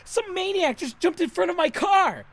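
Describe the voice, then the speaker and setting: A woman's voice: extremely high pitch, lively intonation, normal speed. A woman playing a frantic, outraged carjacking victim calling for help in an audio drama. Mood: frantic outrage.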